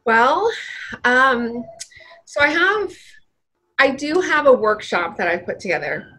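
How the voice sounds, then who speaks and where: Woman from Vietnam, guest author, earnest animated talker, slightly high voice. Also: takes it slowly, rough recording. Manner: earnest, animated, heavy use of "like". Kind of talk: casual interview talk.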